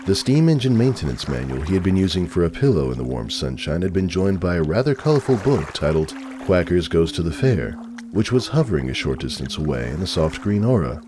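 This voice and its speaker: Low-pitched, expressive male story narrator. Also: a little fast, noisy background. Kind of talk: scripted dramatic story narration.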